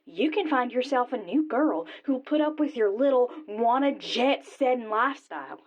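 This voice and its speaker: A woman's voice, fairly high, as a scornful Texan girlfriend in a radio play. She scolds bluntly in colloquial speech. The recording sounds a little dull. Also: animated, normal speed. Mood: angry, hurt. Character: fed-up, scolding Texan girlfriend of a rodeo rider. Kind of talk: radio drama acting.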